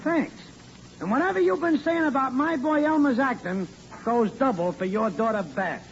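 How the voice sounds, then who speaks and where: A man's voice: very high voice, normal speed. An actor playing a smug, needling neighbor and rival father in a 1940s radio sitcom, bragging in folksy grammar. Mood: mocking. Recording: muffled, noisy 1940s radio recording.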